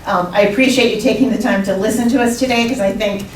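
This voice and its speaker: An older woman from the Midwest, speaking at a public comment session, earnest and urging in a measured way. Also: medium pitch, steady tone. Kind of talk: public comment at a council meeting. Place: council meeting room. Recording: echoey room, distant mic, faint noise.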